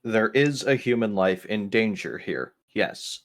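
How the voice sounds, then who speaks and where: A man playing an impatient, blunt spaceship captain in a tabletop game, giving exasperated orders with hesitant pauses. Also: fairly low pitch, animated.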